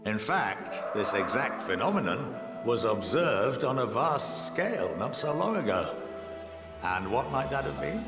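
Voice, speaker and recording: A man's voice: medium pitch, sing-song, unhurried, gravelly. An eloquent, lilting scholar-statesman in a game. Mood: measured, didactic. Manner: formal, eloquent, didactic. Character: erudite, measured male scholar and statesman, gently wry. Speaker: game character, scholar and council member. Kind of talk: scripted video game dialogue, lecturing. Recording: game audio with echo, loud music. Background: loud music.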